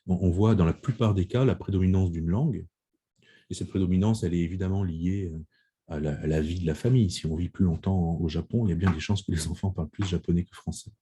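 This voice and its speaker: Deep, husky male lecturer over video call. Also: warm tone. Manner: measured, many restarts and pauses with "euh". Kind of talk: video conference talk presenting survey findings.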